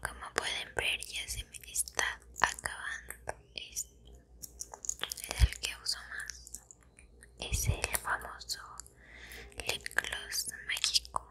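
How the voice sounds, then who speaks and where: A woman in her twenties whispers to her viewers in a casual ASMR style. The voice is breathy and crackly, with crisp, sharp edges. She takes her time, keeping everything hushed and informal. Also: a bass voice, monotone, slow, pauses often. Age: 20s. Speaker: ASMR video creator. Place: small plain indoor room.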